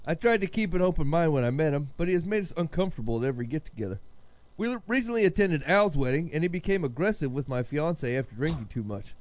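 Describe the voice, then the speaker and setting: A man's voice: on the high side, lively intonation, quick pace. A man co-hosting a podcast, chatting casually and reading aloud in a conversational way. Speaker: podcast co-host. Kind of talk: casual group banter and reading out a listener letter.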